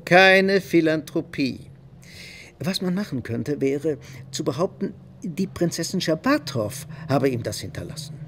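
Animated high-voiced bourgeois husband. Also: male voice, unhurried, slight background noise. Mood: earnest, deliberating. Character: wealthy bourgeois husband, practical, discreetly charitable. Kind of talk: German radio play dialogue.

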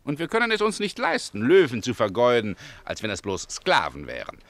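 An actor playing a pompous, capricious Roman emperor in a German radio play, theatrical and commanding. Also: male voice, medium pitch, huge pitch swings, a little fast, a bit bright. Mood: brisk, amused. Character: capricious, grandiose Roman emperor, swinging from rage to flattery.

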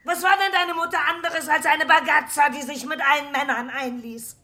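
An actress playing a bitter, furious shopkeeper's wife, scolding and insulting in a theatrical radio drama manner. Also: female voice, sits high, steady tone, normal speed, bright, forceful tone. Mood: furious, anguished. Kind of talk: German radio drama acting.

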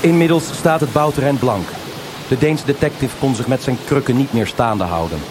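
A man's voice: medium pitch, lively intonation, moderate pace, noisy background. A man narrating a radio noir crime drama in dramatic, clipped short sentences. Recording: live radio play, loud water effects. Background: loud gushing water sound effects.

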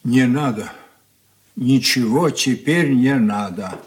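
An actor playing a weary, broken old father in a radio play, speaking in halting, resigned phrases. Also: mid-range voice, close to an everyday voice. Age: older.

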